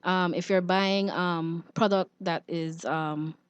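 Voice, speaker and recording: A woman's voice: fairly low pitch, steady tone, slow pace, clean tone, dry recording, muffled recording. A woman with a Belizean accent explaining things informally as an expert guest, plain-spoken and advisory.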